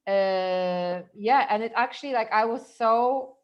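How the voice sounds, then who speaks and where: A woman from Poland, a podcast guest telling stories in an animated way and laughing easily. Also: a little high, a little slow, lo-fi sound. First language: Polish. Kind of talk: casual storytelling chat.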